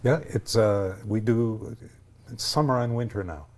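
Male, sixties, interview guest explaining his organization. Deep, relaxed tone. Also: a little slow. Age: 60s. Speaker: ski patrol leader, interview guest. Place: TV studio, indoors. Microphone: lapel mic.

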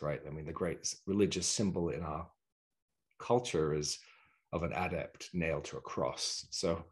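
A middle-aged man speaks in a low voice from a room at home over a video call. He talks reflectively, as a teacher explaining his path, and often halts to find the next word. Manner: halting, searching for words. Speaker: guest, meditation teacher. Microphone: video call, sitting back from the computer.

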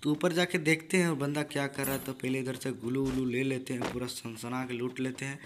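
A hoarse young man gives rowdy, joking, trash-talking commentary over his own gameplay, recorded without a proper mic. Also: medium pitch, steady tone, a little fast, background noise. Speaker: gaming YouTuber. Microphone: built-in device mic, no external mic.

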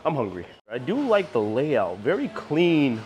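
A man's voice: mid-range voice, big pitch swings, a little slow, darkish tone, slight background noise, low-quality recording. An American man in his thirties giving a casual walking-tour commentary as a travel vlogger, recorded on a handheld camera. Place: walking around a cruise ship, decks and indoor areas. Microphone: handheld camera at arm's length.

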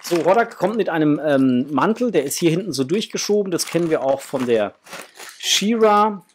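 A man's voice: on the high side, animated, moderate pace, a little hiss or hum. A man in his forties chatting casually and enthusiastically to viewers as he presents an unboxing indoors. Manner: enthusiastic, addresses viewers as friends. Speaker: toy unboxing vlogger. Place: indoors, small room with framed posters.

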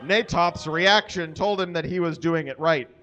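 A man reads a story aloud for comedy from a small stage, in a voice slightly higher than most men's. He delivers it deliberately, stumbling over errors as written. The live recording sounds somewhat dull and muffled. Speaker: live reader. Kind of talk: comic dramatic reading of fan fiction to an audience. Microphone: stand microphone on stage, filmed from a distance.

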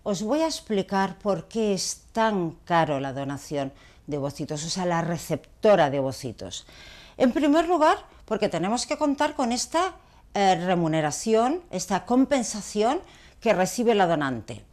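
A woman's voice: medium pitch, very animated, measured pace, a little mellow. A woman, a gynecologist explaining to camera in a didactic, emphatic way with rhetorical questions. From Barcelona, Spain. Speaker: gynecologist, video blogger. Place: small clinic consulting room.

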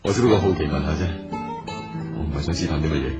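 An actor playing a patient, persistent businessman in a radio drama, speaking calmly and earnestly. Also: a bass voice, quick pace, muffled recording, loud music behind the voice. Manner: calm, earnest and probing.

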